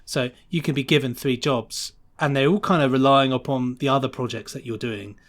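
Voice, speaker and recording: A man talks as a guest in a relaxed interview. He is enthusiastic and chatty, wandering through his thoughts as he goes, with a mid-pitched voice. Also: fairly quick. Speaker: podcast guest, sound designer. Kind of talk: casual interview chat.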